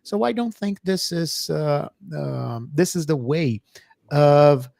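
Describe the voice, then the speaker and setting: A middle-aged man with a Brazilian Portuguese accent and a fairly high-pitched voice. He talks like a professor on an educational podcast, hesitating often and filling gaps with fillers. The recording is dry and close. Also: lively intonation, takes it slowly, gravelly, a little mellow, no room sound. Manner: hesitant, many fillers and restarts. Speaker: co-host, professor. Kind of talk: casual educational podcast chat.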